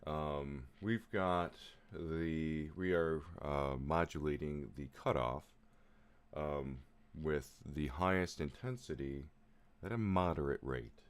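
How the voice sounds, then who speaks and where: A man with a low voice, casually walking through synth settings on a live stream, rambling with lots of fillers. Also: slow, clear, resonant tone. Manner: relaxed, rambling explanations with many fillers. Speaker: music producer streaming a live session. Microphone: studio mic through a vocal chain. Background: synth music playing.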